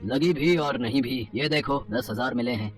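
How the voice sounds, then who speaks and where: A greedy, grumpy male street vendor from a cartoon fable, acted by a man. He is blunt and exclamatory, speaking at a medium pitch with faint music behind him. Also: moderate pace, lightly acted. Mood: disappointed grumbling. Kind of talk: animated Hindi moral story dialogue.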